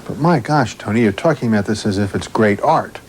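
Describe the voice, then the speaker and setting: A man's voice: fairly low pitch, lively intonation, brisk, darkish tone, a little hiss or hum. A man talking conversationally as an informed guest in a television discussion. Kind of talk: conversational TV interview.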